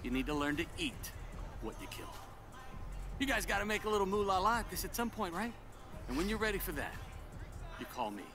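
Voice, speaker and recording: A cheesy, theatrical talent agent in a video game. His high voice swoops widely as he flatters and schmoozes. Music plays behind him. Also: brisk, long pauses. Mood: slick, persuasive. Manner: theatrical, gushing, slick. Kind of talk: video game cutscene acting.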